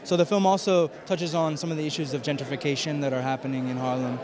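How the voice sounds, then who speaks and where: A man giving a festival interview as a film director, speaking haltingly as he searches for words. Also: a little high, level tone. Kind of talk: red carpet interview. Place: film festival red carpet. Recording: busy crowd and urban noise behind. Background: crowd chatter.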